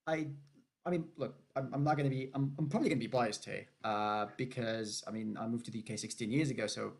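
Male podcast host, 30s, from Syria, gravelly mid voice, rambling casual chat. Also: mid-range voice, fast talker. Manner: chatty, animated, many restarts and laughs.

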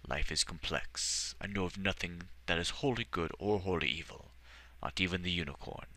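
A man voicing a wise old elf mage who speaks in a measured, formal and gentle way. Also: low voice.

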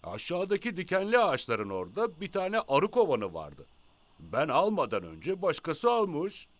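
An actor voicing a naive, slow-witted bumbling henchman in a children's cartoon, guileless in manner. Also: a little high, huge pitch swings, no room sound. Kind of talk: animated children's series dubbing.

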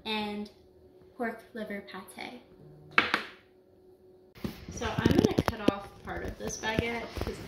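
A young woman from South Louisiana hosting a home cooking show, explaining each step calmly and then narrating some food history. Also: a little high, heavy background noise. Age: young adult. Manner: calm, instructional. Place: home kitchen, indoors. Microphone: camera mic, across the kitchen. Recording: echoey kitchen room sound.

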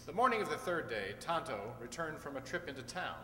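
A man's voice: fairly high pitch, very animated, brisk. An older man reading scripted narration for an old-time radio drama on a stage. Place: stage in a hall. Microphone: stand microphone. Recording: echoey hall, long reverb.

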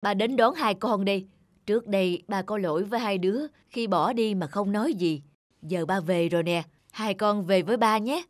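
A woman's voice: mid-range voice, moderate pace, dry recording, studio quality, close to an everyday voice. A woman voicing a remorseful, insistent middle-aged father in a scripted cartoon.

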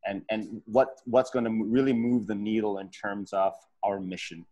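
A man's voice: on the low side, steady tone, moderate pace, mellow. A man in his thirties who grew up in California, a founder speaking candidly and reflectively on a video call from an office, with many fillers. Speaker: startup founder. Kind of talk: casual panel talk on a video call. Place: office with posters. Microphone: wired earphones on a video call.

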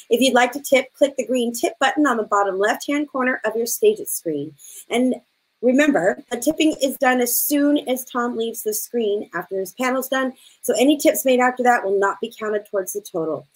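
Woman host, high voice, peppy announcer read over video call. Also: breathy edge, poor audio. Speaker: event host. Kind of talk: scripted announcement. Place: room at home.

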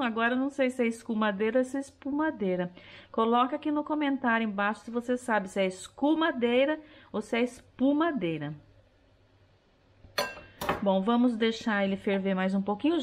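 A woman's voice: a little high, slight background noise. A middle-aged woman with a Brazilian Portuguese accent, chatting casually as she cooks in her home kitchen and explaining each step, recorded on a head-mounted camera. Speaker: cooking vlogger. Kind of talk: casual cooking chat to camera.

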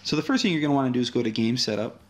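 Relaxed man demoing an app. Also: mid-range voice, racing. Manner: relaxed, friendly walkthrough with frequent fillers. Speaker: app developer. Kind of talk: casual screen-recorded app demo.

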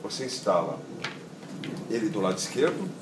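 Low-voiced Brazilian man, echoey sound. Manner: halting, repeats words, checks with "né" and "tá vendo". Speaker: product demonstrator. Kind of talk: informal hands-on tutorial, explaining step by step. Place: outdoor patio table in a garden. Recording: roomy echo, steady ambient noise.

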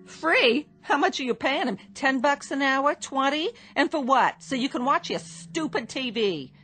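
A sarcastic, nagging New wife. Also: female voice, sits high, expressive, a bit bright, soft background music. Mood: bitter. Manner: sarcastic, nagging, quick retorts. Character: bitter, sharp-tongued working-class wife.

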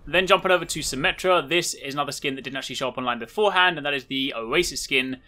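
Man, gaming host, informal showcase commentary, quick pace, forceful bright tone. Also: mid-range voice. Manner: chatty, informal.

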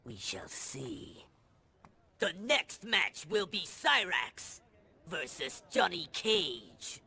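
A man's voice: on the high side, very animated, drawn-out words, creaky, sharp tone, exaggerated voice. An actor playing a sinister old sorcerer in a video game, pompous and commanding as he presides over a deadly tournament. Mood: menacing. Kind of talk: theatrical video game villain acting.

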